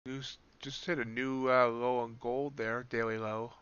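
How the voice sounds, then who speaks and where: A man calls market moves on a live trading stream and thinks aloud as he corrects his own numbers. His voice is bright and smooth, with a slight rasp from hours of talking. The recording sounds a little dull and lo-fi. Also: mid-range voice, flat delivery, moderate pace. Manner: thinking aloud, correcting himself mid-sentence. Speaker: streamer, day trader.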